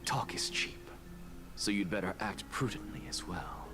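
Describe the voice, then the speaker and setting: An actor playing a haughty, blunt, self-assured scholar lord in a fantasy game, with an imperious, formal manner. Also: male voice, lowish voice, unhurried, in a whisper, lightly acted. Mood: cool, bargaining. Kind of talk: scripted fantasy game dialogue. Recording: game dialogue under background music.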